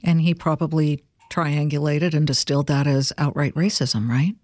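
A woman's voice: deep voice, steady tone, moderate pace, darkish tone, no room sound, studio quality. A woman hosting an interview, asking questions in a chatty, opinionated way with asides and restarts. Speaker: interview host. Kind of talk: conversational interview.

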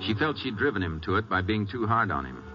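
An actor playing a calm, shrewd Texas Ranger with an American accent and laconic manner in a 1950s radio western. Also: male voice, fairly low pitch, fast talker, over music. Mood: measured narrating. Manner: laconic, understated. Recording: noisy, dull old radio recording.

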